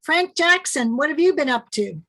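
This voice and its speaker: A woman's voice: sits high, lively intonation, moderate pace, pushed and bright. A woman hosting a casual online meeting over video call, speaking in a direct way. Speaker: meeting host.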